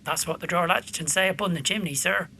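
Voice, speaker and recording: A man's voice: high voice, fast talker, creaky, sharp tone, heavily acted. A man playing a deferential, gossiping village landlord in a period audio drama. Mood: deferential, gossipy. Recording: faint background noise and ambience.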